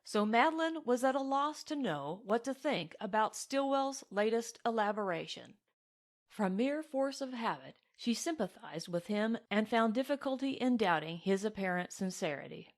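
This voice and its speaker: Woman narrating a novel, measured delivery. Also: a little high, lively intonation. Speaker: audiobook narrator.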